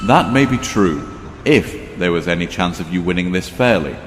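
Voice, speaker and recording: Deep-voiced, proud vampire king. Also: animated, very noisy. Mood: firm, regal. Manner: measured, regal, sardonic. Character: composed, proud vampire king, disdainful of brother. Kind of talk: audio drama acting. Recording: long reverb, music underneath.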